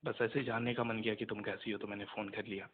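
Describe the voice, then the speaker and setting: Low, flat-voiced young man on phone. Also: fast, a crowd in the background. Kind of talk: casual phone conversation. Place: phone call. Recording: muffled phone call recording.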